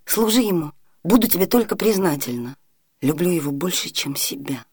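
A woman's voice: lowish voice, expressive, normal speed, darkish tone, slight background noise, natural voice. An actress playing a spirited, commanding noblewoman in love in a classic comedy radio play, with theatrical, decisive delivery. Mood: tender. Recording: reverberant 1980s Soviet radio studio.

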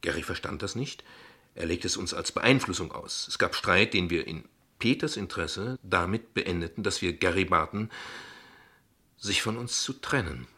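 An actor playing a guarded, ironic father in a radio play, speaking in measured, eloquent, formal sentences. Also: low voice, lively intonation, pushed and bright, husky, full character voice. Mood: ironic, defensive. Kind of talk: radio play dialogue acting.

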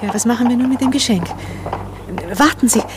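An actress playing a wistful married society lady in a German radio drama, urgent and insistent with hushed longing. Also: fairly high pitch, fairly quick, noisy background, lightly acted. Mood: flustered insistence.

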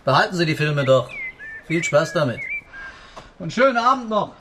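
A man's voice: on the high side, big pitch swings, moderate pace, noisy background. An actor playing a brazen middle-aged father in a radio drama, casual, sardonic and dismissive. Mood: mocking, dismissive.

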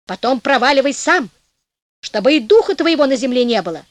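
A woman's voice: high-pitched, animated, brisk, no echo. Woman playing a bold, mocking young hero. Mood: commanding. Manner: commanding and mocking.

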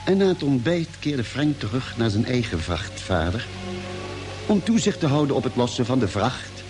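An actor playing an expedition doctor who narrates a Mars voyage in a measured, formal style for a radio play. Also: male voice, mid-range voice, close to an everyday voice. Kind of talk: scripted radio drama narration. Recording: old radio play, music underneath.

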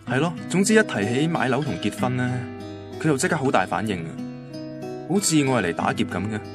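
An actor playing an earnest, devoted young boyfriend in a Hong Kong Cantonese radio drama, speaking colloquially and sounding quietly vulnerable. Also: male voice, mid-range voice, very animated, a little fast, close to an everyday voice. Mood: puzzled, frustrated. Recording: music bed under the voice.

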